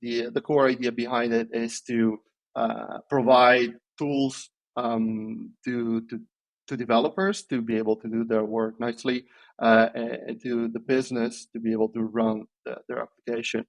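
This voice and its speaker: A man, answering interview questions in a thoughtful, hesitant way with many pauses and restarts. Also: medium pitch, little pitch movement, creaky, rough recording. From Italy. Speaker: podcast guest, software engineer.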